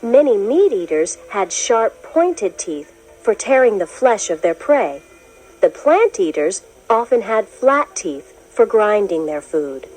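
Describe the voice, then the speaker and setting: A woman narrates a children's educational read-along over music. Her mid-pitched voice moves in lively swings, with the clear, expressive delivery of someone telling a story from a science book. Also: slow pace, rough recording.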